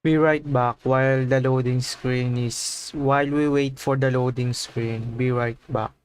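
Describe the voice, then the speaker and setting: A man's voice: medium pitch, level tone, a little slow, smooth, no echo, rough recording. A man chatting casually on a gaming livestream, using informal slang and often repeating his phrases.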